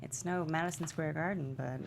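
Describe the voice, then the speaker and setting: Low, creaky-voiced, flighty prodigal mother played by an actress. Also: moderate pace, noisy background. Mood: sheepish. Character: flighty, breezy prodigal mother, ex-band singer. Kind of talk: script table read.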